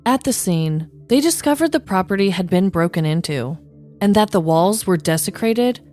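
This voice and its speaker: A woman's voice: medium pitch, lively intonation, moderate pace, darkish tone, faint music behind. A woman podcast host telling scripted true-crime stories with dramatic, tongue-in-cheek delivery, like a comedic narrator.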